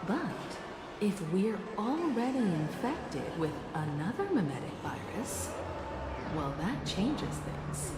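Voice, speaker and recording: An actress playing a composed, thoughtful young woman adventurer in a video game, speaking in a measured, explanatory way. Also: on the low side. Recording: echoey voice over music.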